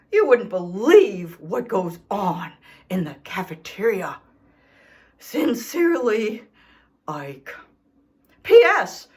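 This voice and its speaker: A woman's voice: on the high side, fairly bright tone, heavily acted. A woman voicing an indignant, self-pitying male dog in a children's storybook, reading his letters with theatrical, aggrieved emphasis. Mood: self-justifying, wounded. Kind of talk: expressive children's storybook read-aloud.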